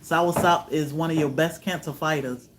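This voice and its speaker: Chill virginia guy on a livestream, kinda high voice, faint hiss behind. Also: normal speed. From Virginia, USA. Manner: relaxed and chatty, voice often trailing off softly. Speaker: homestead channel host. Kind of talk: livestream chat answering viewers.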